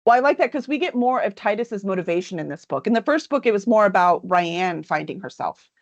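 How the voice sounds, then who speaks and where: A woman's voice: medium pitch, animated, fairly quick, dull audio. A woman hosting a livestream, chatting upbeat and casual about a book and reading its cover copy aloud. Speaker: livestream host.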